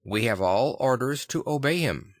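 A man's voice: medium pitch, animated, normal speed, no echo, clean studio sound, close to an everyday voice. A man voicing an elderly male butler in an audiobook, polite, formal and dutiful. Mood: deferential. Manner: formal, courteous. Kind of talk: audiobook character reading.

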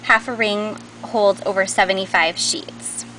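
A woman's voice: mid-range voice, steady tone, unhurried, sharp tone, a little hiss or hum, low-quality recording. A woman giving a casual, unscripted product walkthrough as host, chatty and informal, on a handheld camera in a warehouse. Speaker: host showing new craft store products. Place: large indoor warehouse aisles. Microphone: handheld camera's built-in mic.

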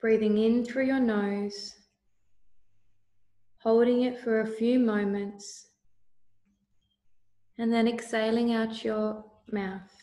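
A woman leading a guided meditation with calm, soothing, deliberate instructions. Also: fairly high pitch. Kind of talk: slow guided meditation narration. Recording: roomy, echoey voice recording.

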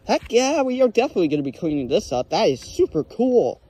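Young male vlogger, high lively voice, mellow tone, excitable outdoor commentary, faint ambience. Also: fast, a little hiss or hum. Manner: excitable, exclaims over finds. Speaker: magnet fishing vlogger. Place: outdoors on a lake dock. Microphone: handheld phone or body camera.

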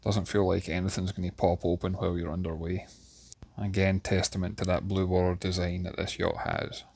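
A man with a Scottish accent, in his thirties, giving a steady, detailed walkthrough of a yacht's interior as a reviewer. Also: sits low, fairly quick, gravelly. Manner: steady, descriptive, enthusiastic about details. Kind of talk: guided video tour narration. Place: inside a yacht cabin.

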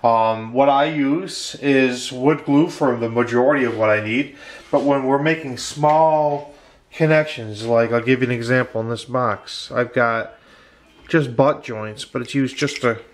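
A man's voice: medium pitch, measured pace. A man hosting a casual woodworking how-to, talking loosely with lots of fillers and restarts from his workshop bench. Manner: relaxed, many fillers and restarts. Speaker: woodworking channel host.

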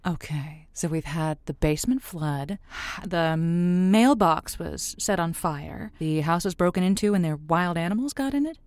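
Lively, low-voiced folksy radio advice hostess. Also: female voice, unhurried, no echo. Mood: sympathetic, wry. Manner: folksy phrasing, wry. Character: quick-witted, sympathetic woman radio advice host named Josie. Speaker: radio advice show host. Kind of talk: audio drama call-in show acting.

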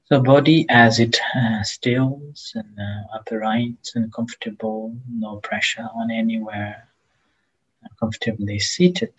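A man leading a guided meditation as a teacher, speaking gently and haltingly with long pauses between phrases, in a husky, breathy voice. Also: medium pitch, steady tone, dull audio.

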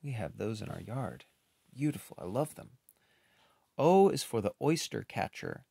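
A man reading a children's picture book aloud in an expressive, unhurried way, with casual, playful asides. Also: mid-range voice, vocal fry, slightly rough, no echo.

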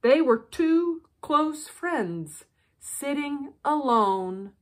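Female storyteller: high voice, very unhurried, expressive children's bedtime reading. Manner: deliberate, expressive, with dramatic pauses. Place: living room with brick fireplace, indoors.

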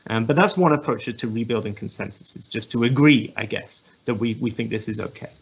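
English man, thirties, husky voice, webinar speaker, dull video-call sound, halting restarts. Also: medium pitch. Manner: thoughtful, many restarts and fillers. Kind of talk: academic presentation.

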